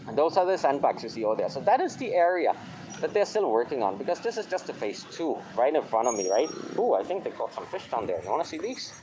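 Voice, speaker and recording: A man's voice: medium pitch, very animated, fast talker. Chatty man vlogging on a windy beach. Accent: non-native Tagalog accent. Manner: chatty, mixing English with Tagalog phrases. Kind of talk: casual walking vlog commentary. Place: outdoors on a windy city beach. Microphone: handheld camera, filming while walking.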